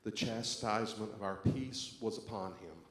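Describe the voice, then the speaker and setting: A man's voice: lowish voice, normal speed. A man speaking as a pastor, introducing a church service and leading a scripture reading. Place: church sanctuary. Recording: echoey church, faint background noise.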